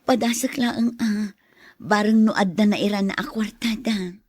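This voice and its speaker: A woman's voice: medium pitch, fairly even intonation, normal speed, darkish tone, husky, no room sound, clean studio sound, natural voice. Woman as a pleading, devoted poor wife. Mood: worried, earnest. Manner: earnest, pleading. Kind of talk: radio drama acting.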